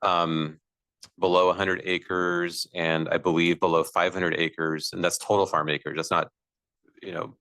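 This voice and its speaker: A man with a naturally loud voice, an agency official speaking at length at a public meeting, with frequent fillers and restarts. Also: deep voice, level tone, a little slow, clean tone, no echo. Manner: expansive, talks at length with many fillers. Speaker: air district official.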